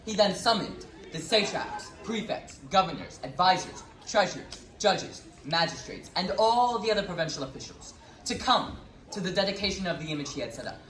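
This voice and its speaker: A man's voice: high voice, expressive, normal speed, a bit bright, faint music behind. Man narrating scripture in echoey hall. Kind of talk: dramatized Bible recitation. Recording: echoey hall, faint noise.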